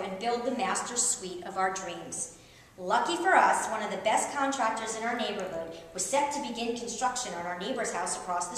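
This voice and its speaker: Woman, middle-aged, high-ish voice, wry animated essay reading, very reverberant room. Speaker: author reading at a book tour event. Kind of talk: reading a humorous personal essay aloud to an audience. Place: reverberant indoor event room. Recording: very echoey room, distant, faint background noise.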